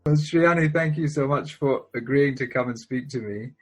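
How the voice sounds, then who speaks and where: A man hosting a podcast, reading a scripted introduction and asking interview questions in a measured way. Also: mid-range voice, slightly rough, faint music behind. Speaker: podcast host.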